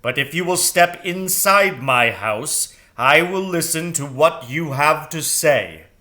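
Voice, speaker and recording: Man acting a grave old storybook owl, loud, resonant, very slow, formal, imperious. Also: lively intonation, full character voice. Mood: measured, formal. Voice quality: deep, round, sonorous.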